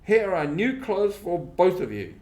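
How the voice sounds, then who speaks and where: A kindly, practical widow in a storybook , read by a man. She gives instructions in a measured, slow way, pitched a little higher. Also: very animated, a little mellow.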